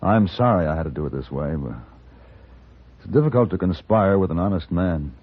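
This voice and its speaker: An actor playing a cool, courtly Western gunfighter in a 1950s radio drama, speaking in a measured, formal way with dry irony. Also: male voice, low voice, expressive, husky, heavily acted. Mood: gently solemn. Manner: measured, formal, dryly ironic. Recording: muffled, narrow old-time radio recording.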